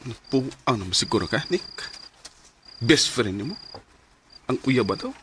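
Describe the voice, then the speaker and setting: A man's voice: medium pitch, very animated, unhurried. A man playing a lovestruck young man in a radio drama, hesitant and stammering as he hides his feelings. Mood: brooding. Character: lovestruck young man hiding feelings for best friend's girlfriend. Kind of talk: radio drama acting in Cebuano. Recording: clearly noisy radio drama recording.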